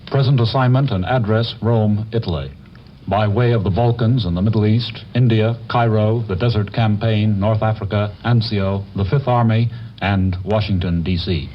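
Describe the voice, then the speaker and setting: A lowish-voiced man from New England, a foreign correspondent, terse and list-like. It comes through a dull, hissy 1950 radio recording. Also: normal speed. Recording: dull lo-fi 1950 radio broadcast with faint hiss.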